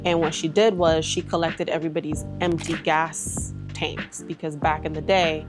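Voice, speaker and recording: A woman's voice: low-pitched, fairly even intonation, moderate pace. A woman from Trinidad and Tobago speaking candidly and conversationally about herself in a reflective documentary interview. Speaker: aesthetician and entrepreneur. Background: background music.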